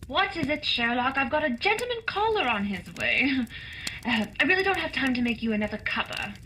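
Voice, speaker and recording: Lady doing a fed-up sarcastic housekeeper, radio play, lively highish voice, noisy recording. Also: a little fast, full character voice. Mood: exasperated. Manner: sardonic, put-upon. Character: exasperated, saucy housekeeper with a dry wit. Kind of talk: holiday radio play acting.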